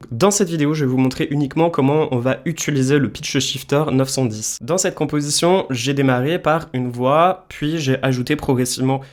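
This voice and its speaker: A man explaining music software step by step in a casual tutorial style. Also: mid-range voice. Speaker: music production tutorial presenter.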